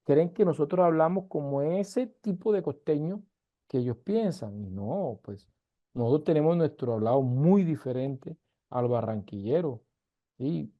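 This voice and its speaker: A man's voice: fairly high pitch, expressive, unhurried, phone-quality sound. A man from Colombia's Caribbean coast, with its accent, teaching an online university class in an emphatic way full of rhetorical questions, on a video call. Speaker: university lecturer.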